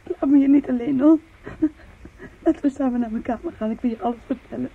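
An actress playing an anguished young woman in a Dutch radio play, confiding in her lover with halting, intimate emotion. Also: sits high, creaky, husky, full character voice. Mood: pleading. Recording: dull, muffled old radio recording.

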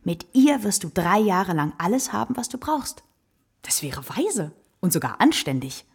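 A worldly courtesan in a radio play, frank and generous. Her voice is husky with a creaky, gravelly edge, and her delivery is theatrical and knowing. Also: medium pitch, big pitch swings, normal speed, a little mellow, full character voice. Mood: brisk, persuasive. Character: worldly, brazen kept woman, generous and theatrical. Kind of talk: radio drama acting.

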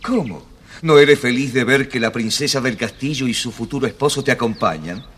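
An actor playing a crafty, teasing young musician hero in a children's storybook recording. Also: male voice, medium pitch, brisk, a bit bright, husky. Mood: mock-polite. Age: young adult.

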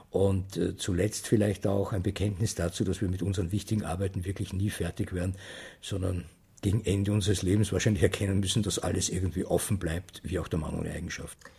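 Older guy, eloquent interview talk, really husky, kinda low. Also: a little mellow. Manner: eloquent, emphatic, with long articulate sentences. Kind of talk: interview commentary.

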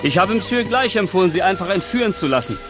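Nobleman's valet: obsequious yet cheeky, radio play, clear music and rainfall underneath. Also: sits high, expressive, quick pace. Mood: obsequious, amused. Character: deferential but cheeky manservant of a nobleman. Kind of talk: 1970s German radio play acting.

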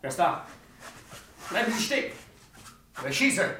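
An actor playing an alarmed armed householder calling out halting challenges to an intruder, in a 1960s German radio drama. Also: male voice, high voice, big pitch swings, voice pushed up. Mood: alarmed, threatening. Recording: roomy old mono radio, faint noise.

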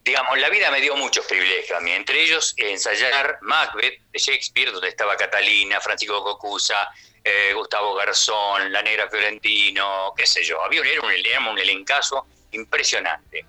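A man's voice: fairly low pitch, moderate pace, pushed and bright, rough recording. An older man with a Rioplatense Argentine accent, speaking as a theatre actor on the radio in a chatty, rambling, conversational way with frequent question tags.

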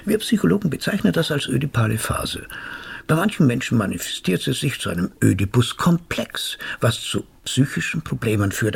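An actor playing a courteous, wry psychotherapist in a radio drama, with a polite, old-fashioned, professorial way of speaking. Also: male voice, medium pitch, gravelly, slightly rough, exaggerated voice. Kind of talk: radio drama acting and narration.

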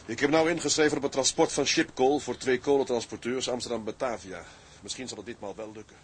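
An actor playing a determined tugboat skipper in a radio drama, speaking in an earnest, deliberate way. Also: male voice, mid-range voice, steady tone, close to an everyday voice. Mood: resigned, indignant. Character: determined Dutch tugboat skipper, patient but embittered by rivals. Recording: clear ambient noise behind.

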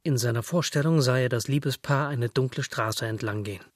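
A man's voice: medium pitch, fairly quick, no room sound, studio quality. A man narrating literary fiction from a script.

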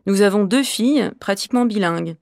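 Clear, measured Frenchwoman teacher. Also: medium pitch, a little mellow, no room sound, clean studio sound. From Normandy, France. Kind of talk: scripted interview answers.

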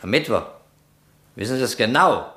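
An actor playing a calm, probing police investigator in a radio crime drama, polite and soothing in manner. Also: male voice, mid-range voice, moderate pace, husky.

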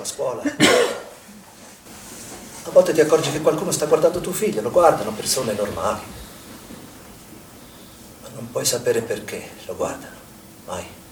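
A man's voice: medium pitch, gravelly. Anxious protective father, insistent, accusing, stage drama, distant echoey recording, faint noise. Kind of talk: stage theatre acting. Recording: echoey room, distant mic, background noise.

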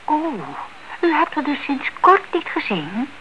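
An actress playing an anxious, inquisitive elderly aunt with a suspicious streak in a radio drama. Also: female voice, high-pitched, very animated, unhurried. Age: older. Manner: fretful, inquisitive. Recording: dull, noisy old radio play recording.

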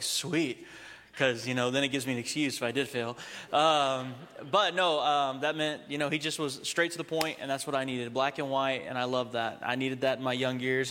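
A young adult man from Texas giving a casual, humorous sermon to a congregation, slowing down to read scripture. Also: medium pitch, a bit bright. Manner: conversational, humorous, slows down when reading scripture. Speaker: preacher. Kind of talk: sermon with storytelling. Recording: long hall reverb.

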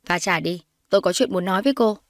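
A woman's voice: mid-range voice, fast, no echo, natural voice. A haughty middle-aged matriarch played by a woman narrator in an audio drama. She is cold and scornful, sneering her accusations, and the sound is close and dry.

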